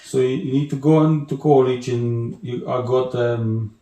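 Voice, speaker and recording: A man from Bulgaria with a Bulgarian accent, chatting as an interview guest in a halting way with restarts and many fillers. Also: mid-range voice, steady tone, dark tone, echoey room. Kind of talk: casual interview chat.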